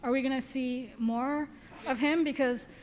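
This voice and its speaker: A woman's voice: high-pitched, steady tone, unhurried, a little mellow. A woman from the Bronx asking a question at a live event, earnest and chatty. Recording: reverberant hall with faint noise.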